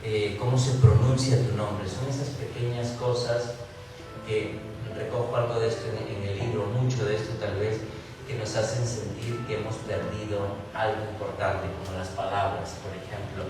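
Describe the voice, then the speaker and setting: A man's voice: fairly low pitch, little pitch movement, moderate pace, heavy reverb, recorded from a distance, music in the background, rough recording. A man from Peru, an author on a literary panel, talking in a reflective, thinking-aloud way with frequent restarts. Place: large university hall.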